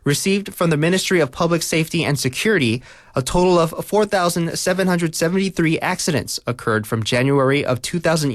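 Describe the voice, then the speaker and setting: Higher-voiced man reading the news. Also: dry recording, studio quality. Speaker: news reader. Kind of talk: scripted local radio news bulletin.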